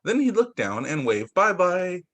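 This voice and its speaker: A young man who teaches young children, reading picture books aloud in a sing-song, expressive way over a video call. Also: sits high, unhurried. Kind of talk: read-aloud story time for young children.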